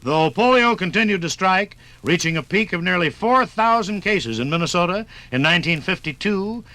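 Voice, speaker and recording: Man, American accent, 1950s documentary narration, animated intonation, dry studio sound. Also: sits high, normal speed, no echo. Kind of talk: formal scripted 1950s radio narration.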